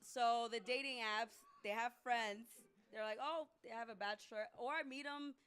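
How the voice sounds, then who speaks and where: Blunt, bright-voiced woman guest. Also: a little high, fairly even intonation, moderate pace, clear, resonant tone. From Colombian American. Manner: blunt, profane, emphatic. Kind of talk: casual podcast chat.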